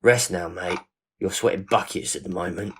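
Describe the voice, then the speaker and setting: A man's voice: sits low, moderate pace, background noise, lightly acted. A man playing a protective, plain-spoken friend in an audio drama, talking in a colloquial, reassuring way. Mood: soothing.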